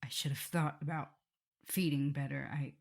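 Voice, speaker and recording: A woman playing a tender, guilt-ridden vampire mistress in an ASMR roleplay, speaking softly and haltingly, often in whispers. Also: deep voice, fairly even intonation. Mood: panicked, apologetic. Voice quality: soft, breathy, often whispering. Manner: halting, hesitant delivery. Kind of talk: ASMR roleplay acting, intimate address to listener.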